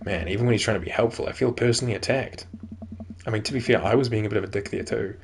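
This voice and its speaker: A man's voice: fairly low pitch, racing, gravelly, slight background noise. A young man with a New Zealand accent, reading a video game's dialogue aloud and joking between lines as a YouTuber. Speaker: gaming YouTuber. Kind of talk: reading game dialogue aloud with casual commentary.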